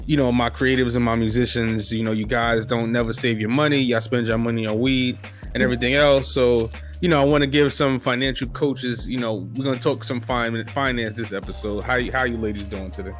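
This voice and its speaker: Relaxed man hosting a podcast chat. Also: medium pitch, brisk, faint music behind. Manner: loose, restarts often, lots of "you know". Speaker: podcast host. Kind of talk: casual interview chat.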